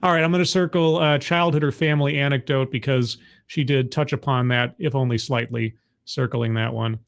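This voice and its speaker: A man's voice: medium pitch, steady tone, brisk, gravelly. A man hosting a reaction commentary, talking in a sarcastic, interrupting, exasperated way. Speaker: commentary host reacting to a talk.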